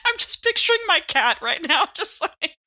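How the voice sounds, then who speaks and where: A woman's voice: very high voice, sharp tone. A woman playing a tabletop game, trading casual, playful banter.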